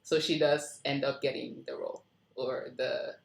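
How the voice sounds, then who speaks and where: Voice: medium pitch, level tone, normal speed, vocal fry. A writer leading a casual script feedback discussion, apologetic and inquisitive, keen to clarify things. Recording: roomy, echoing room sound.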